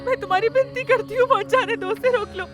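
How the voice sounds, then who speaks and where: A woman's voice: extremely high pitch, lively intonation, brisk, music in the background. A woman playing an anguished mother in a dubbed drama, pleading tearfully for her son. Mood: desperate pleading. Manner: tearful, imploring.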